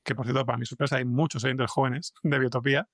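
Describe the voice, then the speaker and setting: Podcast guest, male, Spain Spanish, medium pitch with gravelly fry, halting conversational delivery. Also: flat delivery, dry recording, studio quality. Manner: hesitant, rambling, stretching fillers and repeating words. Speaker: guest, fiction podcast scriptwriter and comics artist. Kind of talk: casual interview conversation.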